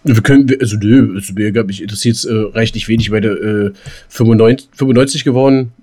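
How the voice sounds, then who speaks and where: A man's voice: on the low side, mellow. Man, rambling casual podcast co-host. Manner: colloquial, halting, rambling. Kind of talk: casual, unscripted podcast chat.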